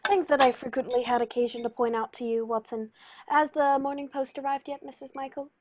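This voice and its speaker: A woman playing a cool, erudite gentleman detective in a radio play, speaking in a dry, lecturing way. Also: high-pitched, steady tone, a little fast, background noise, natural voice. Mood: polite inquiry.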